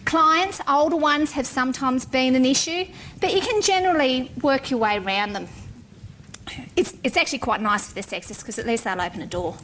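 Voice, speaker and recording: A woman's voice: high voice, brisk, fairly bright tone, slight background noise. A middle-aged woman, a civil engineer, giving a frank, wry talk to an audience. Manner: frank and wry, with blunt humor.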